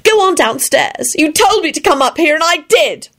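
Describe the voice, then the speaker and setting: A woman's voice: high-pitched, animated, normal speed, fairly bright tone, pitched above the performer's own voice. A woman voicing a stubborn, moody, tearful pregnant woman in a storybook, with dramatic wailing delivery. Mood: shrill, upset.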